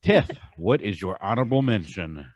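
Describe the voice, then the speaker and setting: A man with a fairly low voice talks casually as a podcast co-host. The sound is very dry with no room echo. He is informal, slangy and free with his opinions. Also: big pitch swings, moderate pace.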